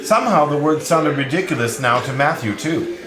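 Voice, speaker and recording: A man's voice: a little high, lively intonation, a little fast. A man narrating a novel in a scripted, literary storytelling manner. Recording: roomy, echoing sound.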